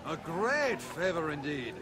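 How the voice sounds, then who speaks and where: A man's voice: fairly high pitch, very animated, measured pace, music in the background. An actor playing a haughty, sarcastic warrior king with a grand, scornful manner in a video game. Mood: bitter sarcasm. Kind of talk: scripted video game cutscene acting.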